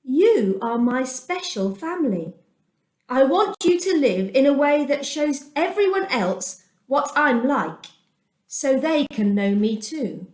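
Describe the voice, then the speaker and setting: A woman voicing God as a loving fatherly male deity in a children's storybook, gently and earnestly. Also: high voice, very animated, slow, echoey room, exaggerated voice.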